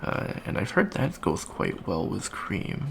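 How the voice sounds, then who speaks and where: A man's voice: on the low side, big pitch swings, measured pace, creaky, a bit bright, full character voice. A man playing a shy, friendly young avian alien student in an ASMR roleplay, hesitant, stammering and rambling. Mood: awkward, chatty. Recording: faint background noise.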